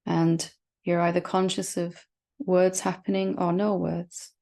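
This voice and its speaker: A woman leading a guided meditation as a spiritual teacher, speaking gently and reassuringly with long, unhurried pauses. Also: fairly low pitch, flat delivery, creaky.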